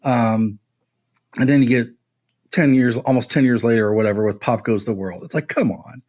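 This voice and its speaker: A man's voice: medium pitch, moderate pace, darkish tone. A man co-hosting a music podcast, talking casually and giving blunt, wry, emphatic opinions.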